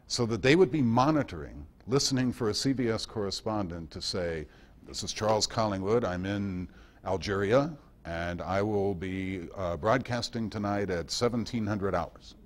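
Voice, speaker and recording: A man giving an informal history talk at a club meeting, rambling conversationally through anecdotes with wry asides. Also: lowish voice.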